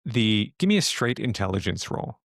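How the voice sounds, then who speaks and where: A man narrating a tabletop horror game as game master, improvising in a halting way as he thinks aloud. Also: on the low side, big pitch swings, fairly bright tone, no room sound, clean studio sound.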